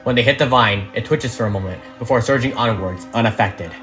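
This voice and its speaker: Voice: medium pitch, fairly quick, a bit bright. A narrator reading a script and its stage directions in a dramatic storytelling style. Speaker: narrator reading all parts of a fan audio drama. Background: background music.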